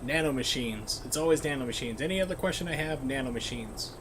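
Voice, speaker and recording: A man's voice: mid-range voice, expressive, quick pace. A man doing casual, wry live commentary while streaming a video game. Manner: wry, joking asides. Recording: clear background noise.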